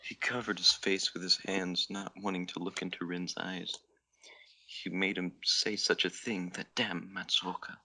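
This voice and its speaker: A low-voiced man reads fanfiction as a comic dramatic narration for a hobby podcast. His tone is bright and forceful with plenty of fry, and he trips over words now and then. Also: flat delivery, unhurried, slight background noise, poor audio. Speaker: podcast member reading fan fiction.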